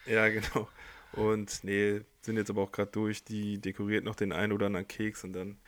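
Man, podcast co-host, easygoing, joking, low voice. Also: completely flat delivery, fairly quick, fairly bright tone. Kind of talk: casual chat.

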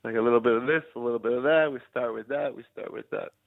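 American man, gravelly voice on a phone-like line. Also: mid-range voice, dry recording. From United States. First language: English. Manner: halting German, switching into English. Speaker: musician guest. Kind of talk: casual interview in learner German.

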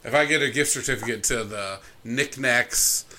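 Sarcastic man with a bright voice. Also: mid-range voice, normal speed, a little hiss or hum. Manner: sarcastic, profane, joking. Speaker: guest. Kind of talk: casual podcast banter.

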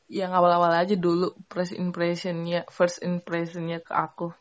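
A young woman from Sumatra hosting a casual podcast chat, playful and quick to joke and laugh. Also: lowish voice, monotone, lo-fi sound. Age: young adult. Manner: playful, joking, laughs often. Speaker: podcast host.

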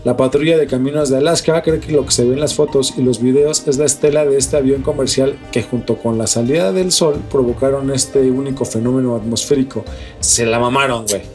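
A man's voice: medium pitch, brisk, darkish tone. Male Mexican co-host for a news podcast: slangy, sweary, conversational, with music underneath. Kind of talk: news reading mixed with casual chat. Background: background music.

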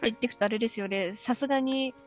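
A woman with a slightly high voice moderates an informal talk. She speaks quickly and thinks aloud in a chatty way, over faint music. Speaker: discussion moderator. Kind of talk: casual chat.

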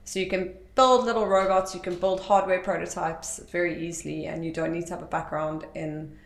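A woman from South Africa talks through her work as an interview guest, circling back with fillers and restarts. Her voice sits a little low for a woman. The room around her is echoey. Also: little pitch movement, brisk, vocal fry. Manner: chatty, rambling, many fillers and restarts. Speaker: interview guest, tech entrepreneur. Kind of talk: casual interview talk.

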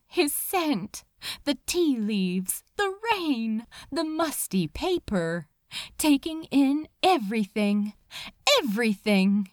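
A woman narrates fiction in a high, expressive voice. She takes her time, yet keeps an urgent, emotive edge. The sound is close and dry. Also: no room sound. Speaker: fan fiction reader. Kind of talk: dramatic scripted story narration.

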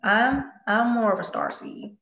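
A woman hosting a podcast, talking in a reflective, soft-spoken way with many fillers. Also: on the high side, measured pace, very noisy. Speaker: podcast host. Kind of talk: casual podcast chat. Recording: roomy, dull lo-fi sound.

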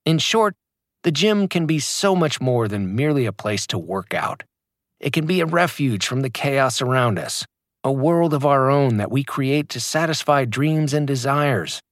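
A man reads his own fitness book aloud in a motivational, emphatic way. His pitch moves a lot across the lines. The recording is dry and close, with no room sound. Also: no room sound. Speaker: author reading his own audiobook. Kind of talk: scripted audiobook narration.

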